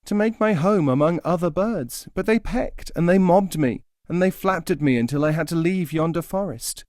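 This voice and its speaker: A man voicing a forlorn, high-pitched little nightingale. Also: normal speed, darkish tone, no room sound, clean studio sound, natural voice. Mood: plaintive, aggrieved. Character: forlorn homeless nightingale, male, plaintive and earnest. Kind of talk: storybook fable character voice.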